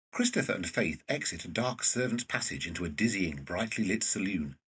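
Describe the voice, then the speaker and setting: A man's voice: on the low side, a bit bright, husky, low-quality recording. A man narrating an audio drama from a script as storyteller.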